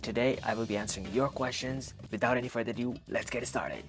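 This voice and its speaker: A young man in his twenties, from Pakistan, answering viewer questions in a soft-spoken, earnest vlog. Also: medium pitch, fairly even intonation, fast talker, music in the background. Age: 20s. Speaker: vlogger. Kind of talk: casual Q and A monologue.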